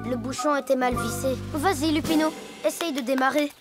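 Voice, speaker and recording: A woman's voice: sits high, brisk, natural voice. A woman voicing a helpful, earnest young boy hippo in a cartoon dub, with music behind. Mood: friendly, helpful. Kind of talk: French cartoon dub acting.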